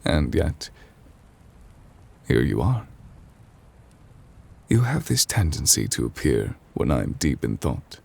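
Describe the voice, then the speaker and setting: A man playing an ancient, weary chief judge in an intimate ASMR roleplay, formal and gentle, speaking in measured, hesitant phrases. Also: big pitch swings, heavily acted. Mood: gently curious. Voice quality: husky, breathy low voice. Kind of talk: intimate ASMR audio roleplay, slow dramatic monologue.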